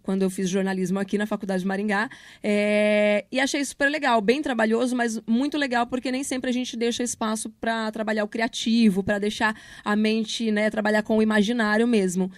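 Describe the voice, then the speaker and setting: A woman's voice: on the high side, fairly even intonation, fairly quick, no echo, studio quality. A Brazilian woman giving casual interview answers, explaining things in an informal, slangy way as a project leader and teacher.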